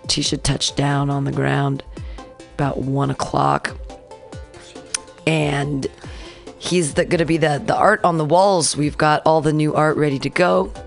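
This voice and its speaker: Low-pitched woman radio host, chatty and rambling, faint music under the studio mic. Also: a little slow, gravelly, pauses often. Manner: chatty, rambling, animated retellings. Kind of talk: casual radio chat.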